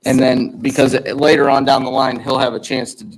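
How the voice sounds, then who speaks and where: A man chairing a city public hearing, procedural and halting, often repeating himself. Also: medium pitch, level tone, low-quality recording.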